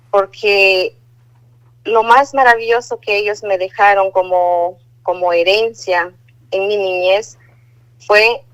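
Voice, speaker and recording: Slow, devout woman on phone. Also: mid-range voice, rough recording. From Guatemala. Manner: measured, devout, with hesitant fillers. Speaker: caller giving a religious testimony. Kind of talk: testimony and recited prayer. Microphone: phone line.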